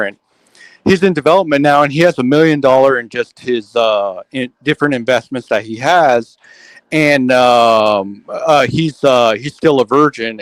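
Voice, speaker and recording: Male host, mid-pitched, quick, restarts a lot, dry close audio. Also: no echo, low-quality recording. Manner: quick, repeats starting words, lots of "um" and "like". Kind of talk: casual online group chat.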